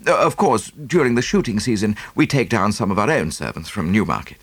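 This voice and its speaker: An actor voicing a well-bred, self-deprecating English gentleman in an audiobook, with a courteous, hesitant, explanatory manner. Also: on the low side, animated, a little fast, breathy edge, no room sound. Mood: explaining. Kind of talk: audiobook character acting.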